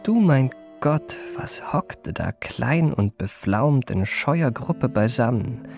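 Creaky-voiced gentleman memoirist. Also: medium pitch, animated, faint music behind. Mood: reflective, marvelling. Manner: ornate, measured literary delivery. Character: reflective gentleman memoirist narrating his own adventures. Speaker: narrator. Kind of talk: scripted radio play narration.

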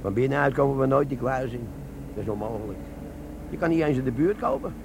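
An actor playing a cheeky, scheming older army sergeant in a comic radio play, with a gravelly, colloquial delivery. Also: male voice, medium pitch, lively intonation, quick pace. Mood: conspiratorial. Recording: noisy old radio drama recording.

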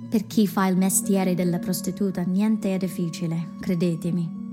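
A woman's voice: fairly low pitch, fairly even intonation, moderate pace, close to an everyday voice. A woman playing a proud, defiant, weary prostitute in a radio drama monologue, speaking solemnly and emphatically. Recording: music under the voice.

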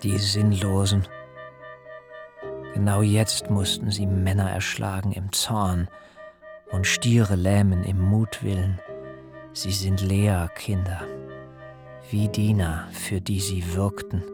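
An actor playing an earnest, devout, burdened patriarch in a literary radio drama, with deliberate elevated diction. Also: male voice, deep voice, flat delivery. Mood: bitter, worried. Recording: music behind the voice.

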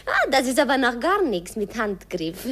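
A lively country girl. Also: a little high, moderate pace, a little hiss or hum. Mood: teasing. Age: young adult. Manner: playful, broken German grammar. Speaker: Piroschka, station master's daughter. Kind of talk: 1950s radio play acting.

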